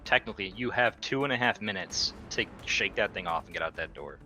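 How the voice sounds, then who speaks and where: A man playing a tabletop roleplaying game, talking casually in a loose, halting, slangy way. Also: fairly low pitch, animated, music in the background.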